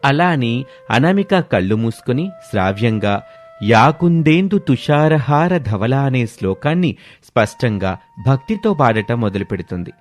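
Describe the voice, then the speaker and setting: A man's voice: medium pitch, big pitch swings, normal speed, faint music behind. A man reads scripted narration of a moral family story as a storyteller.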